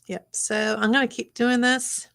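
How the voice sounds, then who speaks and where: A woman's voice: fairly high pitch, level tone, unhurried, creaky, fairly bright tone. A woman hosting a live craft stream, chatting casually as she works, sometimes whispering to her artwork, her voice a bit worn. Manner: chatty, talks to her artwork, drops to whispers while working. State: losing her voice, clearing throat. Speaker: craft channel host.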